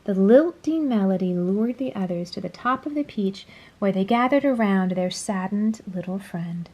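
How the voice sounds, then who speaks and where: A woman reading a children's story aloud in a dramatic, deliberate storytelling manner. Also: mid-range voice, expressive, breathy edge, muffled recording. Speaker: storybook reader. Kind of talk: scripted children's story read-aloud, expressive.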